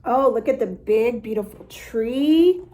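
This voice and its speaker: A woman reading a story aloud to young children in an expressive way, with little asides to her listeners. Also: on the high side, unhurried. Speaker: storytime reader for a dance academy.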